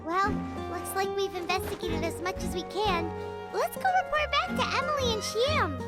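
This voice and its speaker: A woman's voice: very high voice, normal speed, loud music behind the voice. A woman voicing a small, eager, childlike fairy companion in a video game, who talks of herself in the third person. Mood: chirpy, helpful. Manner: speaks of self in third person.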